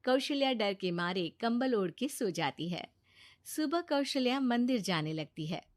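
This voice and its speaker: A woman narrator of animated bedtime stories. Her voice sits a little high, and she delivers scripted storytelling lines between the characters' dialogue. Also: a little slow.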